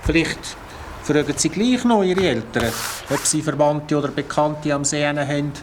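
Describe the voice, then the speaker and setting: A man's voice: on the high side, normal speed, background noise, lightly acted. A man playing a courteous Swiss police officer. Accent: Swiss German. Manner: courteous and formal. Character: polite, formal male police officer on the phone. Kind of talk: radio play acting. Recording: music playing under the voice.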